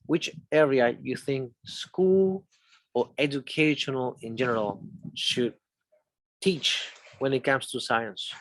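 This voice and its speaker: A man's voice: medium pitch, slow pace, fairly bright tone, poor audio. A man from Chile with a Chilean accent, a native Spanish speaker and English teacher, interviewing conversationally and explaining things step by step with examples.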